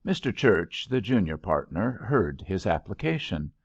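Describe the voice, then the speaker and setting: A man reading literary fiction aloud as an audiobook narrator, in an expressive, measured storytelling manner, on a slightly dull recording. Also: sits low, vocal fry, slightly rough, no room sound. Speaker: audiobook reader.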